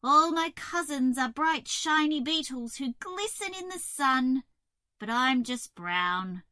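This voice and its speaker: A downhearted male cockroach out of a picture book, played by a woman, insecure and moaning. The voice is forceful and swings widely in pitch, with hesitant starts. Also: lively intonation, slow, no echo, clean studio sound, exaggerated voice.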